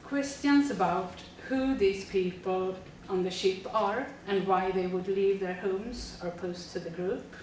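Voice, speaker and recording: A woman's voice: medium pitch, measured pace, hardly any pauses, darkish tone, very echoey, far from the mic, a little hiss or hum, low-quality recording. A woman, a teacher reading a prepared presentation steadily with frequent fillers. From Sweden. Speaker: teacher presenting a school project.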